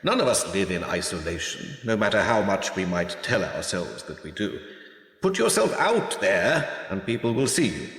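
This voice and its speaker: A man's voice: low-pitched, sing-song, moderate pace, a bit bright, full character voice. A man playing a worldly, encouraging political mentor in a radio play. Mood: reassuring. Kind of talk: radio drama acting. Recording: echoey hall.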